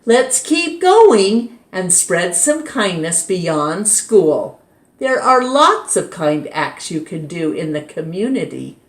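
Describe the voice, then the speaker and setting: Storybook teacher, cheery and sing-song, read by a woman, slow, lively pitch. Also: exaggerated voice. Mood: encouraging. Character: cheerful rhyming female schoolteacher. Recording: roomy echo.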